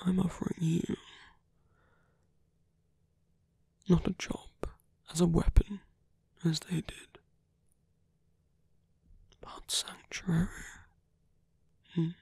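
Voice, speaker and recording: Man acting whispering, breathy seductive villain. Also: sits high, darkish tone, no echo, exaggerated voice. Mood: tender persuasion. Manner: slow, intimate, coaxing. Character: seductive male villain, patient, coaxing, possessive. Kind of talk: ASMR roleplay.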